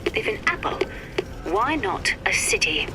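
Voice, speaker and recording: An actress playing a cool, erudite, wry and melancholy physicist in a game audio log, speaking in precise, measured, formal phrases. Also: female voice, medium pitch, big pitch swings. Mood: cool, intellectual. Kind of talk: scripted dramatic audio-log monologue for a game. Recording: noisy, crackly vintage audio-log recording.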